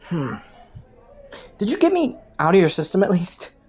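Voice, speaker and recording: A slightly low-pitched woman voice actor as a criminal from the underworld, flirting with and taunting a detective. The delivery is a playful, teasing drawl, in the style of an intimate roleplay audio drama. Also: big pitch swings, moderate pace, breathy edge. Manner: playful, teasing drawl with frequent soft chuckles.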